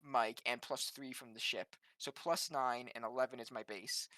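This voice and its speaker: A man's voice: mid-range voice, steady tone, normal speed, pushed and bright, no echo. A man chatting casually as a player in a tabletop roleplaying game.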